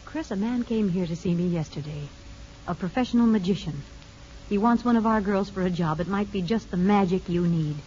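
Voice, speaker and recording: An actress playing a composed, practical woman counselor who narrates a 1950s radio drama in a measured, dry manner. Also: mid-range voice, darkish tone, slight background noise, natural voice. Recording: dull old radio recording with music.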